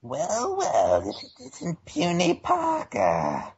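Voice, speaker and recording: A man voicing a mocking teenage school bully with a raspy, creaky villain voice, in an amateur cartoon reading over an internet call. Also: high voice, wildly swooping pitch, muffled recording, full character voice. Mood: gleeful taunting. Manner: taunting, drawn-out, swooping.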